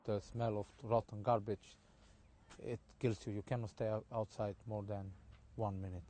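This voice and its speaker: A man from Romania with a Romanian accent, answering an interview in halting second-language English with frequent restarts. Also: fairly low pitch, flat delivery, mellow. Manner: halting, repeats words while searching for English.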